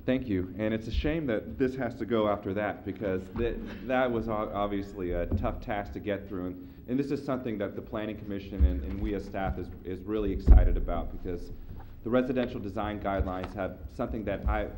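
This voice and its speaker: A man's voice: fairly low pitch, background noise. A man on city staff introducing an item at a public meeting; he is losing his voice from a cold and stumbles over repeated words. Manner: halting, repeats words, upbeat. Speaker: city planning staff member.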